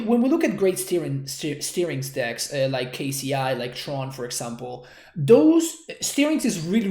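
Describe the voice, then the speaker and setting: A young Argentine man streams and talks through his plays in a relaxed, chatty way. His English carries a Spanish accent, and he pauses now and then to read and answer chat. The room around him sounds echoey. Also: sits high. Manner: relaxed, chatty, reads out and thanks viewers' chat messages. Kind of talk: casual gameplay commentary.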